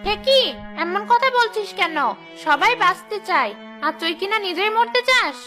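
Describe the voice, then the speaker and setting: Super high bright cackling tree-ghost witch, gloating, cartoon, faint music behind. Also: lively intonation, moderate pace, exaggerated voice. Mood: softened. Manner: gloating, menacing. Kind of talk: cartoon voice acting.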